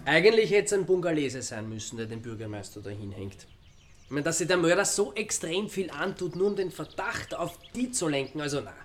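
Radio crime drama: male actor as unflappable Austrian sleuth, slightly high-pitched, sardonic, needling, thinking aloud. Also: lively intonation, fairly quick, a little hiss or hum, lightly acted. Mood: wry, deducing. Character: wry amateur sleuth confronting a killer, needling, unflappable.

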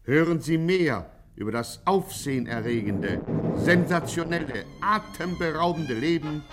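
A man's voice: a little high, expressive, unhurried, very noisy. A man narrating a 1950s radio serial in a formal, mock-dramatic announcer's delivery.

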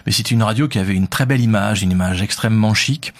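A man with a Parisian accent, a radio host interviewed about his career, talking quickly with many fillers. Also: fairly low pitch. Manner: fast, hesitant with many fillers. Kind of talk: conversational interview.

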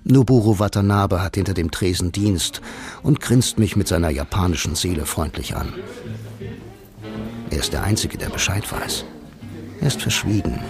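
An actor playing a lonely, wistful noir romantic who narrates his own story in a measured, deadpan way for a radio play. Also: sits low, music in the background. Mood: wry, reflective. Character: blind lonely bank clerk, film-noir romantic, dry and wistful. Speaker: first-person narrator and lead.

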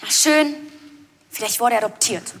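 Woman playing teenage diva, high voice, haughty, snippy, audio drama, reverberant hall. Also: normal speed, fairly bright tone, a little hiss or hum, close to an everyday voice. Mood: grudging sarcasm. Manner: snippy, dismissive. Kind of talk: film audio drama dialogue.

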